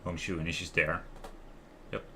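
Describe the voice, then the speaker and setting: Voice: low voice, steady tone, measured pace, sharp tone, a little hiss or hum. A game streamer giving casual live commentary, muttering short reactions to the screen.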